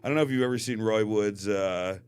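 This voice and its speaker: A man's voice: on the low side, brisk, a little mellow, smooth. A young adult man, a comedian guest on a podcast, rambling and laughing often, with lots of "like". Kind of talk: casual comedy podcast chat.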